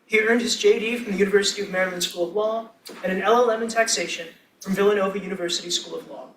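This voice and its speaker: Man, fast-talking, high voice, formal introductions, echoey hall, distant mic. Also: fairly even intonation, a bit bright, a little hiss or hum. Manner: brisk, formal, scripted. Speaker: debate director introducing panelists. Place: lecture hall.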